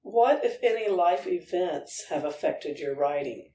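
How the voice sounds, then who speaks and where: A woman interviewer speaks from a distance in an echoey room. She asks questions casually, as a podcast host, in a fairly low voice. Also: animated, measured pace, dark tone, a little hiss or hum.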